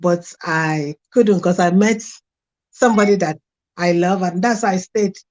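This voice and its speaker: A woman's voice: on the low side, a little slow, darkish tone. A middle-aged woman from Nigeria with a Nigerian accent, a guest frankly telling her life story in an interview. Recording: dull lo-fi sound.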